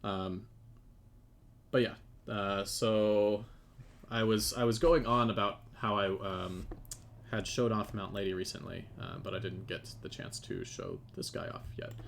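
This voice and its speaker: A man with a low, creaky voice talks casually as he demonstrates his work on a live stream. He thinks aloud in a halting way. There is faint noise with keyboard typing and clicks behind him. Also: steady tone. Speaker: game developer and 3D modeller presenting.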